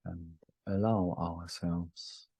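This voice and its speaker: A man guides a meditation in a low-pitched, husky voice. His phrases are short and gentle, set apart by long pauses. The sound is very dry and close. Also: flat delivery, dry recording.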